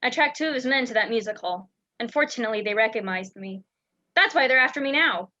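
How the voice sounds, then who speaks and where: A woman's voice: fairly high pitch, lively intonation, fast, sharp tone. A young woman playing a frightened, secretive spy in an amateur radio play, speaking urgently and dramatically. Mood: tense, earnest. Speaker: student actor in a school radio play. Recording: dull lo-fi home recording.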